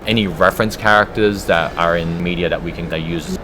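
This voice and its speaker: Low-voiced man explaining in an interview. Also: brisk, background noise. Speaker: interview guest, audiobook producer. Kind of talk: conversational interview answers, explanatory.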